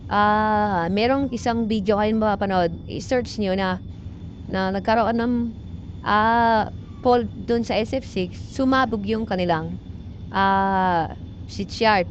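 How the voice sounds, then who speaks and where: Dull lo-fi audio, noticeable background noise, Filipino-accented woman, medium pitch, informal tutorial, halting repetitive explanation. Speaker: vlogger explaining a technical job. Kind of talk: informal tutorial narration mixing technical English terms.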